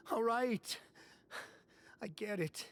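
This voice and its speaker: A woman's voice: fairly high pitch, very slow, slight background noise. A woman playing a distressed prisoner protesting innocence in a video game. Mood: pleading, frustrated. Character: distressed inmate protesting mistaken identity. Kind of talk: game dialogue acting.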